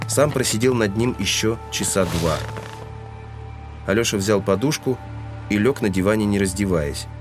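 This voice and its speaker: A man's voice: on the low side, moderate pace, music in the background. A man giving scripted literary narration as the narrator of an audio drama.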